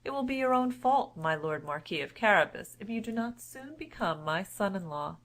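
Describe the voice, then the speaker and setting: A woman's voice: medium pitch, expressive, normal speed, a bit bright, close to an everyday voice. Woman voicing a gracious, stately storybook king.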